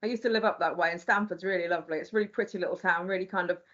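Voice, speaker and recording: A woman's voice: lowish voice, steady tone, very fast, a bit bright. A woman interviewing a young singer in a casual chat, with many fillers like "sort of". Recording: dull lo-fi audio.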